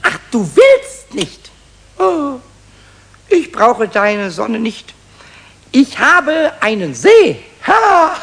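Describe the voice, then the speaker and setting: A man's voice: very high-pitched, gravelly, a bit bright, husky, heavily acted. A man voicing a cheeky, childlike little kobold in a children's radio play, tipsy and defiant, stammering and slurring with hiccups. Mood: tipsy, dreamy. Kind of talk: 1970s German children's radio play acting.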